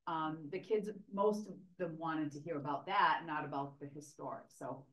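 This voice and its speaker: A middle-aged woman fashion historian, starting a public lecture in a library meeting room, loud, chatty and enthusiastic, heard from a camera in the audience. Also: low voice, moderate pace, poor audio. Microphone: far camera in the audience.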